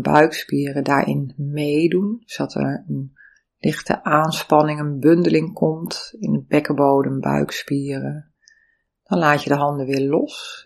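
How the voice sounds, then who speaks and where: A meditation teacher giving calm, soothing, step-by-step guidance through breathing and body awareness. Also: mid-range voice, vocal fry, husky. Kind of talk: guided meditation instruction.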